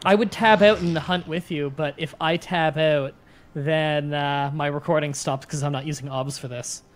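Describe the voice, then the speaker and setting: Loud self-mocking young man. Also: fairly high pitch, fairly even intonation, normal speed. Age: 20s. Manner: loud, self-deprecating, swears freely. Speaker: guest co-player. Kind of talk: casual gaming chat.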